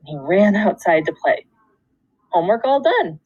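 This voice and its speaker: Female schoolteacher for a children's read-aloud: animated intonation, deliberate storytelling phrasing, dry room sound. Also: medium pitch, slightly rough, no echo, muffled recording. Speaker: second grade teacher.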